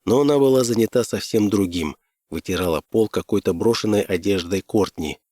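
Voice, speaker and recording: A man's voice: lowish voice, a little fast, warm tone, no room sound, clean studio sound. A man reading scripted first-person fiction as an audiobook narrator.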